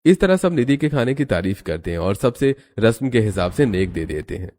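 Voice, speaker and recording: Low-voiced man narrating a story. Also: big pitch swings, a little fast, mellow. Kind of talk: scripted cartoon story narration.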